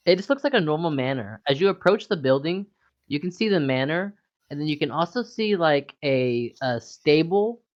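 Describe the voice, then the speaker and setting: Casual game master on a dull mic. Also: medium pitch. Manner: casual, rambling, filler-heavy. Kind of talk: narrating a tabletop game over voice chat.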